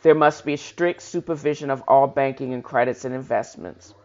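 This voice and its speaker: Dull lo-fi recording of a halting reader. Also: mid-range voice. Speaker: host of a reading show. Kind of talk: reading a historical speech aloud with informal commentary. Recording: dull lo-fi sound.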